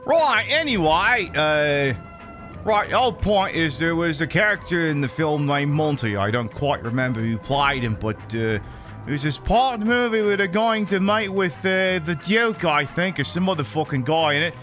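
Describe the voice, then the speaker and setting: Casting: male streamer playing a cheeky, foul-mouthed British bloke for rambling game commentary, exasperated, very animated delivery over music. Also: a little high, moderate pace. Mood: rambling, amused. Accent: put-on British. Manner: sweary, rambling, mock-indignant. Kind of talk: improvised live gaming commentary. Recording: music behind the voice.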